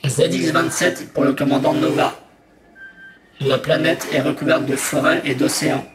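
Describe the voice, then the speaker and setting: A man playing a small, methodical exploration robot in a sci-fi audio drama, speaking in a measured, matter-of-fact way. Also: fairly high pitch, steady tone, music in the background, close to an everyday voice. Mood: formal reporting. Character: small exploration robot, methodical, dutiful scout reporting findings. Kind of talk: scripted sci-fi audio fiction monologue.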